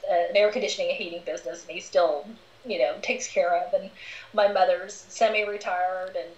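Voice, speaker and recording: A woman's voice: on the low side, fairly even intonation, a little fast. Forties woman guest, candid and chatty, echoey and kinda muffled. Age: 40s. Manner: candid, conversational, laughs easily. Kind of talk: casual interview chat.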